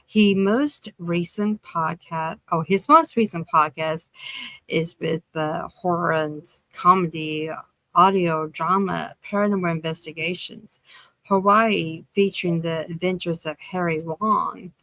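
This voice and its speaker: A woman's voice: on the low side, unhurried, a bit bright, no echo. A woman hosting a podcast interview, introducing her guest and putting questions, sometimes stumbling as she reads. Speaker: podcast host.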